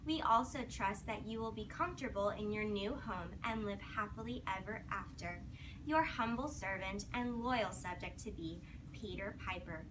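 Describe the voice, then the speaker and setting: A woman's voice: mid-range voice, normal speed. A woman teacher reading aloud to young children in a classroom, with simple instructional prompts and praise. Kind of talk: read-aloud lesson with questions to children. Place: classroom, recorded from a distance. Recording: distant mic, noisy classroom.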